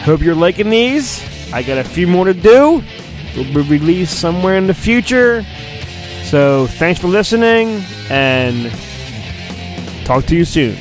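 A man hosting a podcast, giving a mock-dramatic storybook reading and breaking into jokey, deadpan asides. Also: high-pitched, a little slow, faint music behind. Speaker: podcast host.

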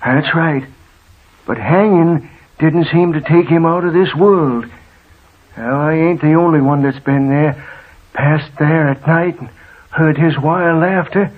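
An actor playing a fearful, stubborn small-town American local telling ominous tales in folksy 1940s radio drama style. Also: male voice, on the high side, slow. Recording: tinny, muffled old radio recording.

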